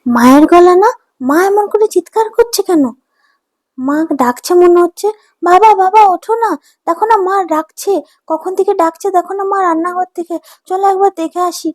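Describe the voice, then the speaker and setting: A woman voicing an eager young boy in a cartoon, childish, excitable and pleading. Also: very high voice, normal speed, pitched above the performer's own voice. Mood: urgent. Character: young boy, eager fish-loving son of a fisherman. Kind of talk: cartoon voice acting.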